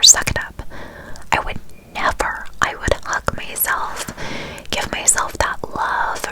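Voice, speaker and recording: A woman whispering softly and soothingly to her viewers, calling them her loves. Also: low-quality recording. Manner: soft, slow, soothing, addresses listeners as "my loves". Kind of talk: whispered ASMR-style talk.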